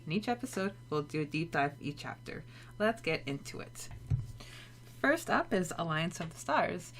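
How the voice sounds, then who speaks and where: A woman's voice: fairly low pitch, very animated, moderate pace, fairly bright tone, a little hiss or hum. A woman from Montreal co-hosting a podcast in a casual, chatty style, with a gushing, giggly manner. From Montreal, Canada. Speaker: podcast co-host. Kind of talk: casual chat.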